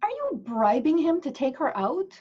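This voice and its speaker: Casting: actress for soft-hearted, lovelorn female nun, cheeky, fairly high and expressive, muffled lo-fi audio. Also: normal speed. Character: gentle, wistful, lovelorn nun with a cheeky streak. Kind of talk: virtual table read of a comedy play.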